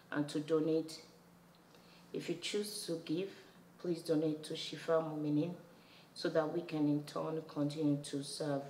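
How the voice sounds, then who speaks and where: A woman's voice: sits low, warm tone. A middle-aged woman delivering a scripted appeal to camera. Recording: roomy echo, faint background noise.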